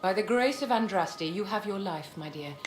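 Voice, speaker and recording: A woman's voice: medium pitch, animated, moderate pace, roomy sound, music in the background, natural voice. A woman playing an imperious aristocratic enchantress in a fantasy game, poised, genteel and condescending. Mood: icy, condescending. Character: imperious, silky aristocratic female court enchantress.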